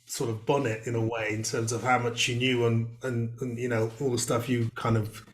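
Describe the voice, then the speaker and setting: A man's voice: mid-range voice, little pitch movement, moderate pace. Chatty male host on a video call, rambling delivery, room echo. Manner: chatty, rambling with many fillers. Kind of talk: casual video-call interview.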